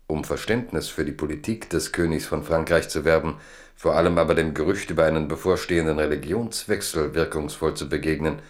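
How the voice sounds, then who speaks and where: A man narrating a scripted radio drama in a measured, formal manner. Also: sits low.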